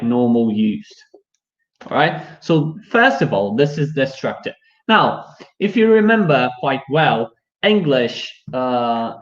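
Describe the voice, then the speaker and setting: A man's voice: medium pitch, a bit bright. A young adult man with a Brazilian Portuguese accent teaching a live online English class, chatty and full of fillers. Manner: chatty, many fillers like "you know". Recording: dull lo-fi stream audio.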